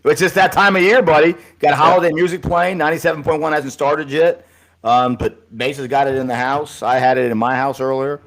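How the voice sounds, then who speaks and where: A man co-hosting a live high school football podcast, chatting casually and calling his co-host buddy. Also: steady tone, a little fast, fairly bright tone. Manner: easygoing, chummy, calls co-host buddy.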